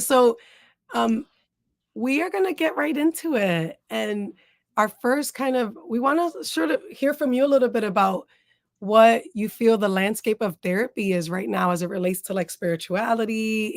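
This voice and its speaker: A woman hosting a podcast in a relaxed, casual way, filling her talk with "um" and "like". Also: on the high side, no echo, studio quality. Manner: relaxed, many fillers like "um" and "like". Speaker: podcast host.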